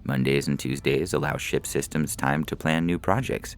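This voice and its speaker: Man as a calm, officious starship computer, creaky. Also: very deep voice, normal speed. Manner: formal, unflappable. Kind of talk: sci-fi comedy audio story.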